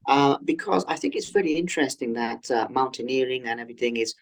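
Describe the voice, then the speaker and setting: A man hosting an interview podcast, earnest and effusive, often pausing on "um" as he builds his questions. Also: medium pitch. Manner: earnest and effusive, with frequent ums and restarts. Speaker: podcast host.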